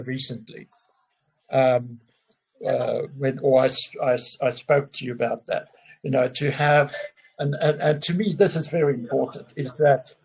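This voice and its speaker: Husky-voiced man, slow and halting. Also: medium pitch, a little mellow, rough recording. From South Africa. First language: Afrikaans. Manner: halting, restarts often, openly emotional. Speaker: guest. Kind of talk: casual conversation.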